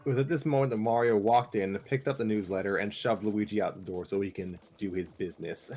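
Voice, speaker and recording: Low-voiced man narrating a comedy story. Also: steady tone, quick pace, a little mellow, soft background music.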